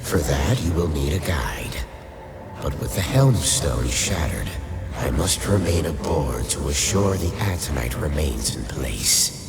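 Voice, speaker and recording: A man playing a commanding undead lich, a skeletal sorcerer, in a dark fantasy radio play, speaking gravely and formally. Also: rumbling low, lively intonation, a little slow. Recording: cavernous echoing reverb, music underneath.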